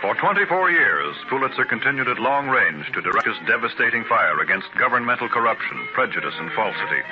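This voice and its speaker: A man narrating a 1930s radio programme from a script in a dramatic, oratorical manner. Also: mid-range voice. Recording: muffled old radio transcription with music. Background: music.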